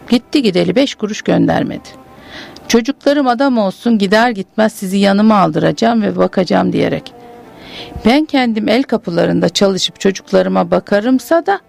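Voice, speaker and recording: A woman's voice: mid-range voice, vocal fry, darkish tone. A woman reading aloud the letter of a poor, desperate wife, in a pleading, plain-spoken manner. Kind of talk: literary reading.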